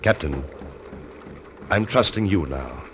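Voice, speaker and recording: Deep-voiced, persuasive radio convict. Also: male voice, expressive, takes it slowly. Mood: conspiratorial. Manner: well-spoken, earnest, persuasive. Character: cool, persuasive convicted murderer, gentlemanly and scheming. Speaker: actor in a radio play. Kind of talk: old-time radio drama acting. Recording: noisy old radio recording.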